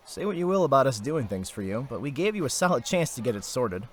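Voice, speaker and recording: A man acting the part of a steady, protective older brother in an audio drama, speaking in a measured, reassuring way. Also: medium pitch, natural voice. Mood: firm.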